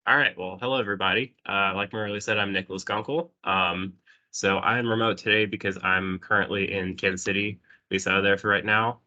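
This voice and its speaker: A man with a cold giving a remote technical presentation over a call, sounding congested, often clearing his throat and saying um. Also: lowish voice, fairly even intonation, brisk, bright, forceful tone, lo-fi sound. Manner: frequent throat clearing and ums. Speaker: presenter at a user group.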